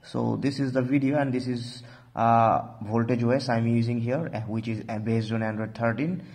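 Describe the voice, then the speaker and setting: A man's voice: mid-range voice, steady tone, moderate pace, a little mellow. A man hosting an informal phone tutorial, talking through steps with repeated stock phrases. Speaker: tech tutorial host.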